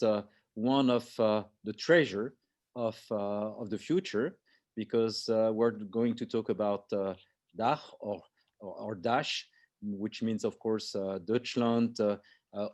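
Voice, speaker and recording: A man hosting an online interview, speaking haltingly with heavy fillers. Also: fairly low pitch, darkish tone. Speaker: host, co-founder.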